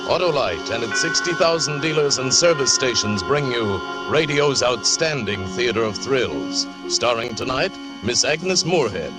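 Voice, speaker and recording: A man announcing a 1940s radio show in a scripted, measured, portentous style. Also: medium pitch, lively intonation, low-quality recording. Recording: old radio broadcast under loud music.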